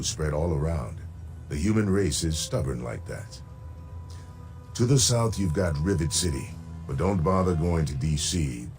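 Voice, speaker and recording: Very deep, gruff frontier sheriff. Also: male voice, heavily acted. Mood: wary, advising. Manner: folksy, blunt, cursing. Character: gruff, plainspoken frontier town sheriff, wary but decent. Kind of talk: video game character dialogue. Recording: music behind the voice.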